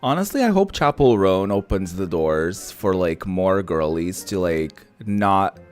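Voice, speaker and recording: A man chats casually while playing a game. His voice is low and clean, his manner sassy and slangy, wandering off on tangents. Faint music plays behind him. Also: lively intonation, measured pace, a little mellow. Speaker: gaming streamer. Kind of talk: casual chatty game commentary.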